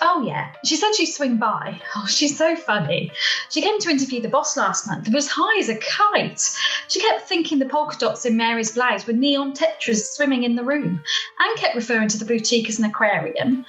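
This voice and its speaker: A woman's voice: high voice, fairly bright tone, lo-fi sound. A woman playing a blunt, flippant young daughter in an amateur radio play. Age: young adult. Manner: flippant, teasing. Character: cheeky, blunt young daughter and shop worker. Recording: roomy home recording via video call.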